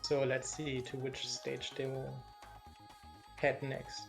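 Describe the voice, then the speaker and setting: A man with a German accent calls a match as a live commentator, reacting to the action. His voice sits at a middling pitch, with game music playing clearly behind him. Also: monotone. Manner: quick, engaged play-by-play, reacting to the action. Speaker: esports commentator.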